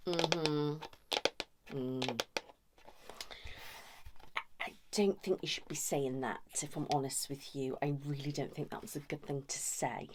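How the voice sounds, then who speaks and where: Hushed, low-voiced woman doing ASMR therapy role-play. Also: a little slow, pauses often, background noise. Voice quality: soft, breathy, often whispered. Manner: chatty, mock-concerned, gently scolding, hushed. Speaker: ASMR host role-playing a couples therapist. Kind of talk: ASMR role-play, one-sided improvised conversation.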